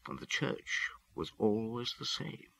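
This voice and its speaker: A man acting as a brooding middle-aged police detective, narrating a radio drama in a measured, dramatic way. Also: fairly low pitch, lively intonation, creaky, sharp tone, no echo, full character voice. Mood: grim, brooding.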